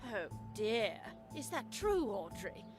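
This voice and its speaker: An actress playing a haughty, condescending rich matron in a visual novel. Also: female voice, medium pitch, very animated, slow pace, over music. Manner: condescending, snobbish. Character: haughty rich society matron, council member.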